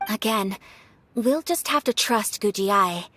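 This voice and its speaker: A woman playing a refined, shrewd young noblewoman in a video game, speaking in a measured, polite and formal way. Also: mid-range voice, lively intonation, fairly bright tone, a little hiss or hum. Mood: composed.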